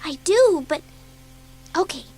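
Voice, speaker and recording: A woman voicing a restless, outspoken schoolgirl in a dramatised children's audiobook, youthful and expressive. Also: extremely high pitch, slow, voice pushed up, full character voice. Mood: uneasy.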